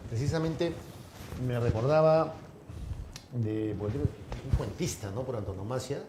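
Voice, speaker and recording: A man's voice: mid-range voice, a little slow. A man interviewing a writer, asking long, rambling questions full of tag questions. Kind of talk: conversational interview. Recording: roomy, with clear background noise.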